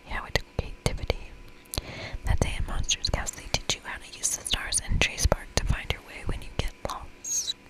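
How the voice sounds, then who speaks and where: A woman whispering in a playful, expressive ASMR style as she reads aloud and comments on a game. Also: low-quality recording. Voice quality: whispered, breathy. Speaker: ASMR creator playing through a visual novel game.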